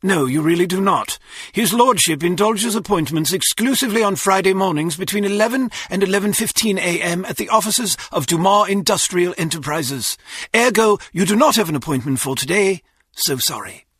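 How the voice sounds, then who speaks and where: A man's voice: sits high, animated, normal speed, fairly bright tone, slightly rough, no echo, full character voice. A man playing a haughty, sardonic English butler in a video game, with a British accent and dry, formal sarcasm. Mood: haughty dismissal. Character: snooty, sardonic male butler to an English baron. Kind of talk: scripted video game voice acting.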